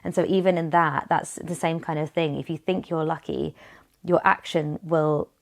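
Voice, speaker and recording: A woman's voice: deep voice, fairly even intonation, moderate pace, vocal fry. A woman co-hosting a podcast, talking earnestly in casual chat and retelling a study at length. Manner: earnest, tells stories at length with fillers. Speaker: podcast co-host.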